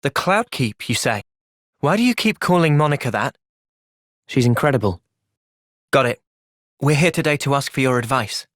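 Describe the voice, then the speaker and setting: A man playing an earnest young English soldier in a video game. He is a thoughtful leader who speaks in a measured way at a middling pitch, recorded very dry and close to studio silence. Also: lively intonation, a bit bright, dry recording, natural voice. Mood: calm, earnest. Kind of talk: video game cutscene acting.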